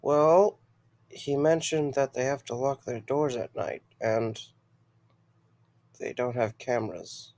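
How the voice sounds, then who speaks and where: A man's voice: medium pitch, slow, long pauses, darkish tone. A man acting in an audio drama as a weary, wry young man with a casual, sardonic, rambling way of talking. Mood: musing.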